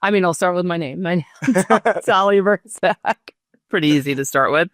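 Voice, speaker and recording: A woman in her forties from Israel, a real estate agent answering interview questions in a measured way with frequent fillers. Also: lowish voice, dry recording, clean studio sound.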